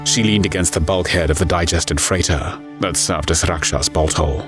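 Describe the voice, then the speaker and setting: A man narrating a dark science fiction story in a scripted, dramatic, measured and ominous style. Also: sits low. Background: music.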